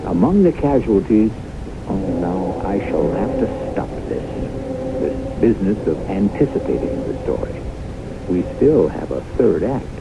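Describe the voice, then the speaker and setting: Very deep male radio drama host. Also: sing-song, unhurried, gravelly. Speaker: host and narrator. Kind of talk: dramatic scripted radio narration. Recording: muffled, noisy old radio broadcast.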